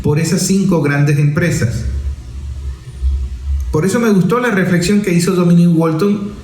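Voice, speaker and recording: A Venezuelan man with a high-pitched voice presents opinion commentary in a roomy, echoing space. He speaks emphatically, building points through lists and rhetorical questions. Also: normal speed, a little mellow. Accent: Venezuelan Spanish. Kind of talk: opinion commentary on media and politics.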